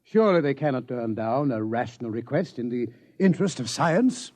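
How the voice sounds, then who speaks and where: A man's voice: mid-range voice, animated, moderate pace, natural voice. An actor playing a formal, fussy male observatory director in a 1950s radio drama. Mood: pompous. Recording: muffled vintage radio recording.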